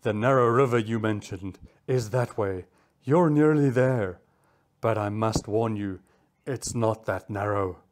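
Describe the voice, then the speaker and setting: A woman voicing a stern, commanding male cheetah with a calm, menacing manner in a children's story. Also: mid-range voice, a little slow, voice pushed down, natural voice. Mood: calm, assured. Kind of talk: children's animal story character voice.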